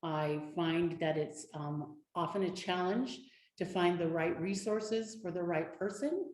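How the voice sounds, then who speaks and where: A woman speaking as an advocate on a community panel, telling her story in an earnest, steady way. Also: fairly low pitch, a little slow. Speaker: domestic violence advocate. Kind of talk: panel discussion. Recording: echoey room with faint noise.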